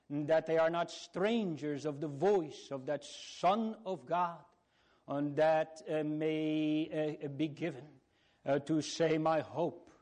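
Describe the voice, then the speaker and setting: A man preaching a solemn sermon in halting phrases, with exclamatory openings and searching rhetorical questions. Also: on the high side, darkish tone.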